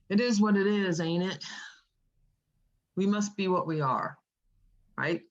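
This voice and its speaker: A woman's voice: mid-range voice, slow pace, muffled recording, close to an everyday voice. A woman playing a witty, teasing poet visiting an old lover in a script read, with an American accent and slangy, playful delivery. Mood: teasing, reflective. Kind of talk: informal screenplay table read.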